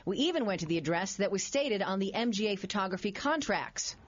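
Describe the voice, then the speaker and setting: A woman's voice: medium pitch, lively intonation, a little fast, muffled recording, noisy background. A middle-aged American woman narrating a scripted television consumer news report.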